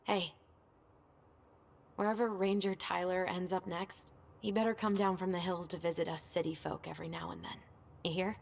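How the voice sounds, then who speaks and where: An actress playing a self-doubting, caring young adult sister with an American accent in a naturalistic video game cutscene. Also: female voice, on the low side, little pitch movement, moderate pace, vocal fry. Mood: lighter, teasing.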